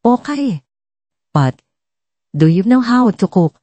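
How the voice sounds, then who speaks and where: A woman's voice: fairly high pitch, big pitch swings, slow pace, warm tone, dry recording, pitched above the performer's own voice, full character voice. A Filipino woman voicing a commanding, brusque male company boss in a story drama. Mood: testing. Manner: brusque, bossy, mixes English into orders.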